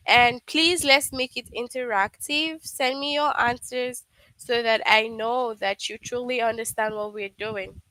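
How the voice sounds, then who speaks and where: A woman's voice: a little high, bright, forceful tone, no room sound, poor audio. A woman teaching a live online grammar lesson, patiently checking understanding with frequent "okay?".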